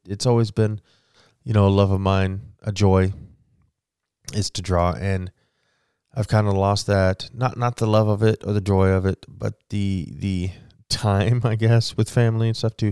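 Forties male podcast host, low smooth voice, chatty rambling delivery, dry close-miked sound. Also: darkish tone, no room sound. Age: 40s. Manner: rambling, chatty, with frequent restarts and fillers. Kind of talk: solo rambling podcast monologue.

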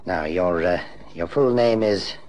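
A low-voiced, suave private investigator. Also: male voice, a little mellow, slight background noise. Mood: polite, intrigued. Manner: measured, polite storytelling. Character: suave male private investigator telling his case. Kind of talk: old-time radio drama narration.

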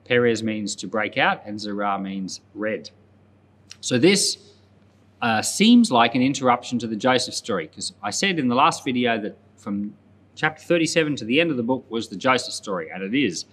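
A man speaking as a pastor, reading and explaining scripture aloud in a measured, plain-spoken way. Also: mid-range voice, expressive, a bit bright, slightly rough. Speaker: pastor, Bible podcast host.

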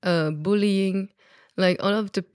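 A woman's voice: mid-range voice, fairly even intonation, measured pace, a little mellow, no echo, clean studio sound. A woman from Belgium, a native French speaker with a Belgian French accent, explaining things candidly as a podcast guest in casual conversation.

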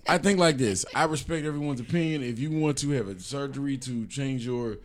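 A man's voice: medium pitch, fairly quick. An American man on a podcast panel, joking brashly and swearing freely in casual banter.

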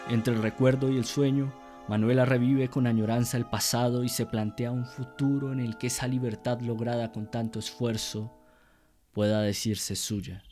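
A man narrating a scripted radio drama in a solemn, theatrical way with measured phrasing. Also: medium pitch, flat delivery, dark tone, soft background music.

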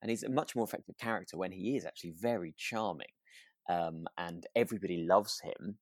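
A man chatting casually as co-host of a relaxed podcast. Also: sits low, brisk, no echo, studio quality. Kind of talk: relaxed casual chat about a radio drama.

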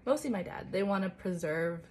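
A woman's voice: lowish voice, lively intonation, brisk, a little mellow, a little hiss or hum. A young woman interviewing a fellow college student and asking curious, friendly follow-up questions in a casual way. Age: young adult.

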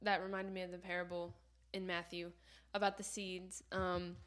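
A young woman with a lowish, level voice talks casually on a faith podcast. She explains her point in a relaxed, steady way, with little rise and fall. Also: moderate pace. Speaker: podcast co-host.

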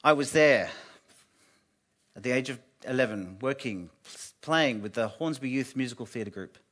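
A man with a loud voice, preaching an earnest sermon in a church and dropping to a hush on solemn points. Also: mid-range voice, expressive, normal speed. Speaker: guest preacher from an anti-slavery group.

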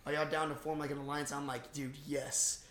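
A young man co-hosting a casual movie discussion, talking in an excitable, slangy way. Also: on the high side, fairly quick, a little hiss or hum. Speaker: podcast co-host. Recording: echoey room.

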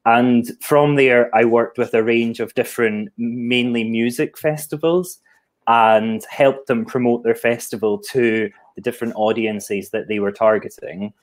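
A man's voice: mid-range voice, steady tone, measured pace. Chatty Scottish man. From Scotland. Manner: chatty, self-deprecating, laughs easily. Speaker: guest, head of marketing. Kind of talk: casual chat on a live video call.

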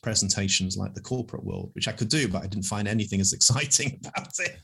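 Fast-talking man with gravelly, husky voice. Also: fairly low pitch. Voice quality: loud voice that carries. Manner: fast, animated, speaks off the cuff. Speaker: animal advocacy campaigner, guest. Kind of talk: conversational interview answers.

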